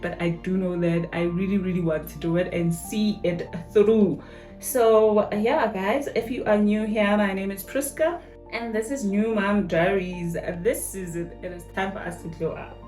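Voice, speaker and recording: A woman's voice: on the low side, a little mellow. Chatty woman vlogging in an echoey bathroom. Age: 30s. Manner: chatty, addresses viewers as "guys", slangy "yo". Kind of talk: casual vlog chat. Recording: echoey bathroom, faint music behind.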